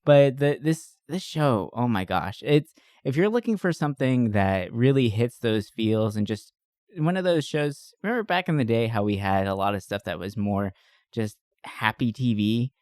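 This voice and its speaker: A man's voice: medium pitch, expressive, moderate pace, darkish tone, smooth, dry recording, studio quality. A man hosting a podcast in a casual, jokey, enthusiastic and chatty style. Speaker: podcast host.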